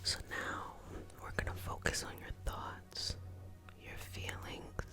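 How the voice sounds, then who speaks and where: A woman whispering a guided ASMR healing meditation in a breathy, soothing, repetitive way. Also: slow, bright, forceful tone. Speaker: ASMR Reiki healer and host.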